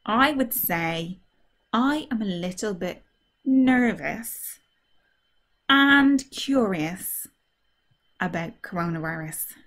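A woman drama teacher reading a story aloud to children, asking them simple questions in an animated, child-directed way. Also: mid-range voice, takes it slowly, pauses often. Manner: animated, simple child-directed talk, acting out feelings and sounds.

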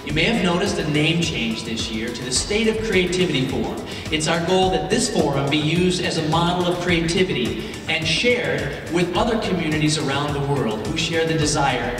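A man's voice: a little high, normal speed, over music. A man giving a formal, scripted welcome as a forum host on a convention stage. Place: large convention hall stage. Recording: echoey hall heard from far off.

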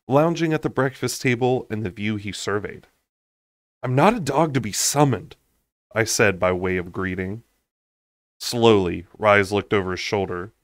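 Low-voiced young man reading a novel aloud. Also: fairly bright tone, dry recording, studio quality. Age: young adult. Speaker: amateur book narrator. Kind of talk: unedited expressive audiobook reading of a fantasy novel.

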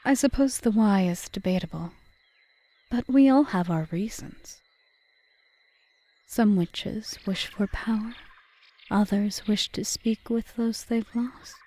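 A woman playing a calm, calculating forest witch in an audio roleplay, speaking in a measured, formal, often whispery way. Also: mid-range voice, dry recording. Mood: solemn, wistful. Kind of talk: ASMR roleplay monologue.

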